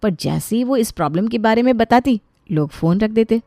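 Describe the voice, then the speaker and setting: A woman's voice: on the high side, animated, a little fast, warm tone, no room sound, clean studio sound. A woman telling a scripted family moral story as its narrator.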